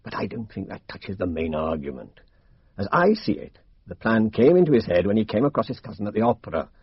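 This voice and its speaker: An actor playing a blunt, down-to-earth British police inspector in a radio mystery, speaking colloquially and with stubborn confidence. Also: male voice, lowish voice, big pitch swings, a little fast, breathy edge, no room sound, exaggerated voice. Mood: briskly confident. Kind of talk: radio drama acting. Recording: dull, dry radio drama recording.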